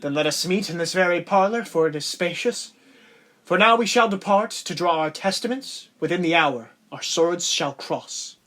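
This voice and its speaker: A man's voice: high-pitched, normal speed, fairly bright tone. A man playing an earnest, aggrieved lieutenant in love in an audio drama, with declamatory, formal period diction. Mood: challenging, resolute. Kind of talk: stage-play acting in an audio drama.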